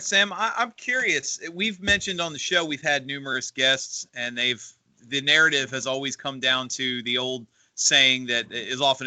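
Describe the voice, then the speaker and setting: A man's voice: medium pitch, pushed and bright, no room sound. A male podcast co-host asking the guest curious, thoughtfully phrased questions in a casual interview.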